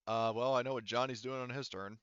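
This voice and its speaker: A man's voice: medium pitch, steady tone, brisk, a bit bright, smooth, dry recording, highs cut off. A man running a tabletop roleplaying game, narrating combat and dice rolls in a conversational, profane way. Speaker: game master.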